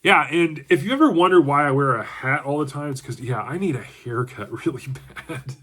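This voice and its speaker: Congested guy doing a laid back book review, chatty, kinda thinking out loud. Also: fairly high pitch, lively intonation, brisk. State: congested from allergies. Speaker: book reviewer and channel host. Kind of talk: casual book review talk.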